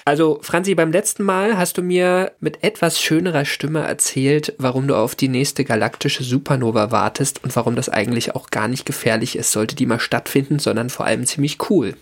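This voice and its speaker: A man's voice: medium pitch, steady tone, brisk, few pauses. A man co-hosting a podcast in casual chat, slightly distorted by a cold, hesitant with fillers and restarts. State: has a cold, sounds a bit distorted. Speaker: podcast co-host.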